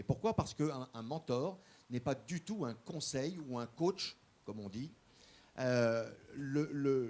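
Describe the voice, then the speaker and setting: A man giving an earnest public speech as guest speaker, halting often and repeating small words as he goes. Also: medium pitch, darkish tone. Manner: earnest, stresses key points. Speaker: guest speaker promoting a mentoring program. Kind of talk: public speech at a formal session.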